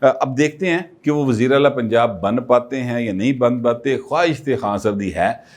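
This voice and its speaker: Man, political commentary, chatty, lots of um pauses, mid pitch. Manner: conversational, many filler pauses.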